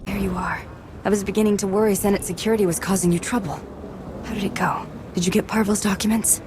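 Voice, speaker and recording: An actress playing a self-assured, shady political conspirator in a video game, businesslike and conspiratorial. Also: female voice, mid-range voice, fairly even intonation, fast, noisy background, lightly acted. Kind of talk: video game character acting.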